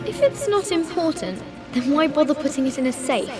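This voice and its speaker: Small girl, nosy and cheeky, high swoopy voice, music behind. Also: sing-song, brisk. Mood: curious, teasing. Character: curious, cheeky little British girl questioning her father. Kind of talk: video game voice acting. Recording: music clearly under the voice.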